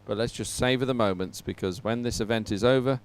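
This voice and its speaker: A man's voice: mid-range voice, fairly quick, smooth. A man presenting live coverage of a sporting event to viewers, talking as a broadcast host.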